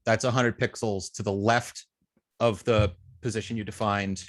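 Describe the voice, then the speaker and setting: A man teaching informally as an instructor, thinking aloud with halting, repeated and restarted phrases. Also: on the low side, flat delivery. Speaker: web design instructor.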